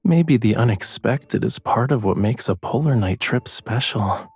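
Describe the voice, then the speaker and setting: Husky, teasing young romantic lead. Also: male voice, mid-range voice, animated, unhurried, darkish tone. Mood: earnest, light.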